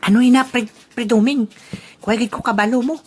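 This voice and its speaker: A woman voicing an excitable, nosy male buddy gossiping in a comic audio drama, on a noisy recording. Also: a little high, fairly quick, natural voice. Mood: nosy, excited. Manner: exclamatory, prying. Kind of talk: comedic audio drama dialogue.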